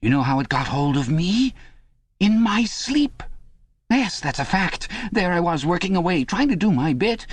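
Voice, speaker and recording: A man voicing a panicky, servile middle-aged prisoner who talks in a high, pleading, blubbering way. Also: sing-song, breathy edge, no echo, full character voice. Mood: anxious. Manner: high, fast, wheedling and blubbering.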